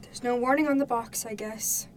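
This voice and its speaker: A woman's voice: a little high, steady tone, moderate pace, close to an everyday voice. A woman playing a cautious, sceptical, protective mother in an audio drama, with a dry, questioning way of talking. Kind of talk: naturalistic audio drama acting. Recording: distant mic, noisy room sound.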